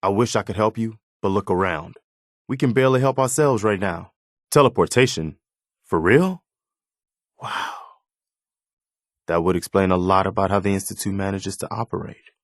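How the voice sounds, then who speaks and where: A man's voice: deep voice, moderate pace, long pauses, no echo, studio quality. An American man voicing an earnest, loyal, plain-spoken militia officer in a post-apocalyptic video game. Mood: concerned, then thoughtful. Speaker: video game companion character. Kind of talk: scripted video game dialogue.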